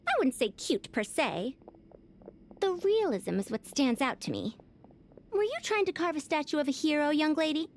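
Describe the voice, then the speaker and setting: A woman's voice: sits high, big pitch swings, normal speed, a little hiss or hum. A woman playing a refined, confident lady scholar in a video game, with a formal, theatrical and grandiose manner. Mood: thoughtful. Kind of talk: video game character acting.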